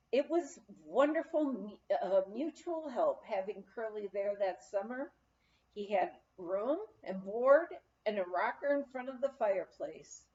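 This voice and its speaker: A woman reading a memoir aloud as scripted narration, in a measured storytelling manner with deliberate pauses. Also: mid-range voice, darkish tone, dull audio. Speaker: audiobook reader.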